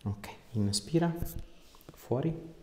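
A man's voice: medium pitch, animated, slow pace. A man, a chiropractor giving casual, reassuring instructions to a patient in short phrases. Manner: informal, reassuring, short directions. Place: small indoor treatment room. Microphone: camera a short distance away. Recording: echoey room with background noise.

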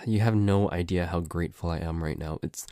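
A man's voice: sits low, brisk, warm tone, no room sound, clean studio sound, lightly acted. A man playing a flustered, grumbling but secretly affectionate boyfriend in an ASMR roleplay, stammering and grudging as he talks. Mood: flustered, defensive. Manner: stammering, hesitant, grudging, sweary.

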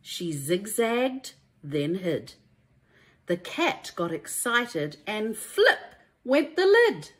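A woman reads a children's storybook aloud like a primary teacher, in a deliberate, emphatic and expressive way. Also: medium pitch. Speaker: primary school teacher reading a story to young pupils.

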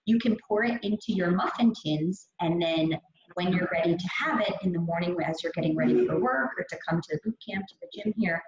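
A young adult woman fitness trainer giving an upbeat, chatty meal prep talk over slides on a video call. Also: lowish voice. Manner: upbeat, chatty, many fillers. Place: gym or studio room. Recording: echoey, dull lo-fi audio with faint hiss.

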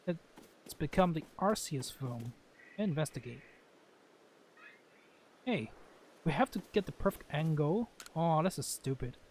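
Higher-pitched man doing casual game commentary. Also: lively intonation, measured pace, pauses often, a little mellow, a little hiss or hum. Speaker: gaming video host playing and commenting.

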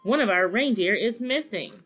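A woman's voice: on the high side, lively intonation, moderate pace, a bit bright, faint music behind, voice pushed up. A little old elf from a children's storybook, played by a woman. He is Santa's fretful helper and speaks up hesitantly, fussing as he goes. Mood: hesitant, worried. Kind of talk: children's storybook read-aloud.

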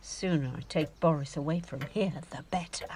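A woman's voice: low-pitched, moderate pace, a little mellow, slight background noise, close to an everyday voice. An actress playing a vain, fussing middle-aged mother in an audio drama, theatrical and dismissive. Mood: fussing, worried. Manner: theatrical, imperious.

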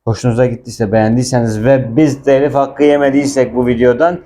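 A man's voice: medium pitch, level tone, a little fast, mellow. A man giving a casual language lesson, explaining film dialogue and repeating words with their translations. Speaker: Arabic teacher.

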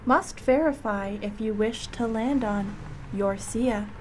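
A woman voicing a devoted, obliging spaceship computer with a measured, doting manner in a comic radio play. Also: a little high, slight background noise, low-quality recording.

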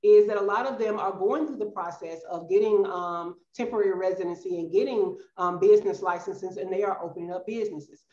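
A woman's voice: mid-range voice, a little fast, hardly any pauses, warm tone. A middle-aged woman from the US South with a Southern accent, chatting casually as an interview guest and calling listeners y'all. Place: bright room at home. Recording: roomy, slightly dull video-call audio.

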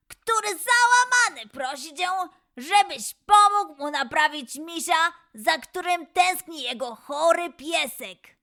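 A woman voicing an eager, kind-hearted young lion cub in a children's audio story, with expressive, emphatic delivery. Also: extremely high pitch, gravelly, heavily acted.

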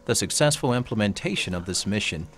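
A man giving calm, formal, scripted narration for an institutional clinic tour video. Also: lowish voice, fast talker. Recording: voice-over with loud background music.

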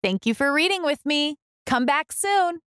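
A woman reading a picture book aloud for young children, deliberate and expressive. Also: high-pitched, no room sound, studio quality. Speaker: children's book reader and host.

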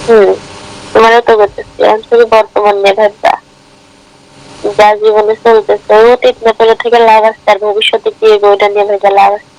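A woman's voice: medium pitch, flat delivery, a little fast, a little mellow. A woman with a Bangladeshi accent chatting casually and intimately on a phone call. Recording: noisy phone call recording.

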